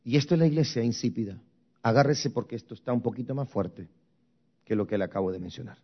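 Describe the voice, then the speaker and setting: A man's voice: mid-range voice, fast talker, lo-fi sound. A man from Guatemala preaching a sermon as pastor, with emphatic, rising and falling intensity. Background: soft music.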